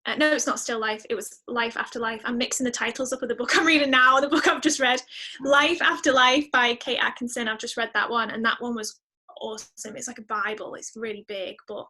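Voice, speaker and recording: Female podcast guest, bright and fairly high voice, chatty, expressive delivery. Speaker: musician. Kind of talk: casual, chatty interview answers.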